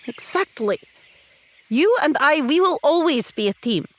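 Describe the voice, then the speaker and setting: A woman's voice: high voice, animated, a little slow, pitched above the performer's own voice. A woman playing a determined, fiercely loyal young female adventurer in tabletop roleplay, speaking earnestly and emphatically. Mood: resolute, loyal.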